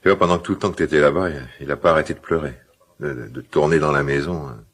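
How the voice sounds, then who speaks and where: Gruff tender dad in a radio play, super low raspy voice, halting and musing. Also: male voice, fairly bright tone, full character voice. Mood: fond, mildly grumbling. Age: middle-aged. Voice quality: gravelly, husky. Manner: hesitant, musing, halting phrases. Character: gruff, tender, old-fashioned father of a teenager. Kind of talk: naturalistic French radio drama acting.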